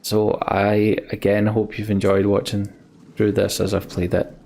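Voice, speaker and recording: A man hosting a gaming let's play, talking casually to his viewers in a halting, reflective, heartfelt way. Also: lowish voice, creaky, a little mellow, a little hiss or hum. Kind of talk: casual sign-off chat to viewers.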